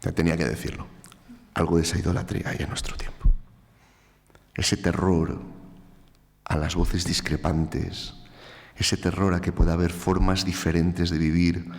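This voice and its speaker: A man's voice: low voice, level tone, moderate pace, gravelly, long pauses. A man from Spain with a Spanish accent giving an earnest, emphatic public lecture. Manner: earnest, emphatic, repeats phrases for stress. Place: large lecture hall. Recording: reverberant hall.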